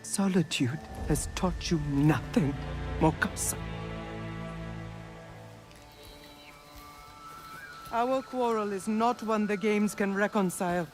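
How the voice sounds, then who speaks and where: A grave clan leader played by a woman in a fantasy game. She speaks slowly and formally, with solemn, unforgiving weight. Music plays behind her. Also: mid-range voice, natural voice. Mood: stern. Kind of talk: solemn game drama acting.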